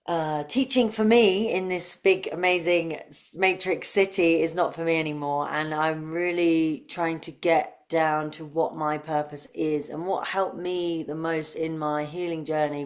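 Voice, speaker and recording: Englishwoman, 30s, low-pitched voice, conversational interview guest. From UK, grew up near London. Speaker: interview guest, breathwork facilitator.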